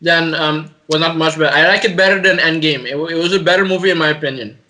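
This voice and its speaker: Bright forceful tone, man, slightly high pitch, podcast co-host, casual review, halting, repetitive phrasing. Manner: halting, repeats words and phrases. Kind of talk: casual movie review chat.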